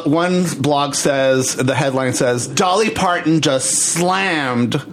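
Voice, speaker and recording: A man hosting a casual talk podcast, talking haltingly with many restarts and reading headlines aloud. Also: fairly high pitch, a little hiss or hum, poor audio. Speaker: podcast host. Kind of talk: casual chat.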